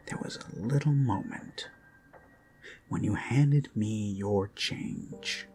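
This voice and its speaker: A man performs a creepy, lovesick male captor in an intimate ASMR drama. He speaks slowly and haltingly, with wide swings from hushed murmurs to pointed emphasis, menacing and adoring at once. Music plays under the voice. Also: on the low side, full character voice. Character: obsessive male yandere kidnapper, gushing, menacing, self-abasing zealot. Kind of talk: intimate ASMR roleplay monologue. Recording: background music under close voice.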